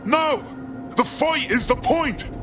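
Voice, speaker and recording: An actor playing a scrappy, idealistic hacker in a video game, blunt and impassioned. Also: male voice, very high-pitched, slow, over loud music. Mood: defiant. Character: defiant male hacker activist, idealistic, scrappy.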